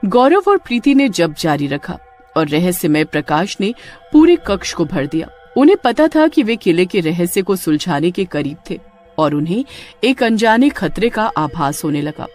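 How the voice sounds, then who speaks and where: A woman's voice: lowish voice, sing-song, normal speed, a little mellow, soft background music. A woman reading a scripted story as narrator, in a dramatic storytelling manner.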